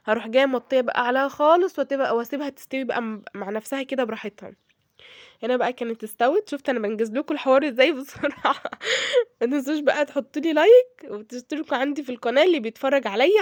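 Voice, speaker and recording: A woman with an Egyptian Arabic accent, hoarse from a cold, chatting through a home cooking tutorial and often checking in with her viewers. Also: high-pitched, no echo, studio quality. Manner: chatty, addresses viewers directly, frequent fillers and check-ins. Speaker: cooking vlogger.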